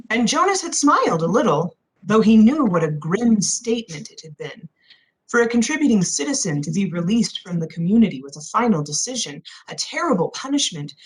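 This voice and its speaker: A woman reading a novel aloud as expressive scripted narration. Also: medium pitch, breathy edge, rough recording. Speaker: audiobook narrator.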